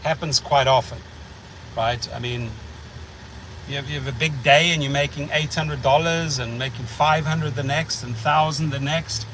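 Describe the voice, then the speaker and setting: A man's voice: fairly high pitch, fairly even intonation, normal speed, a bit bright, slight background noise, poor audio. A middle-aged man with a South African accent hosting a casual livestream chat, rambling and blunt, repeating words as he thinks. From United States. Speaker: channel host.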